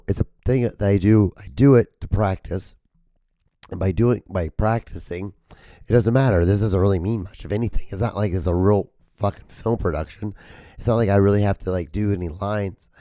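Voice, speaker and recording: A man hosting his own podcast with a hoarse voice that cracks and gives out. He rambles casually, swears often, and holds back his volume to spare his throat. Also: lowish voice, normal speed, dark tone, dry recording. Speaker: podcast host.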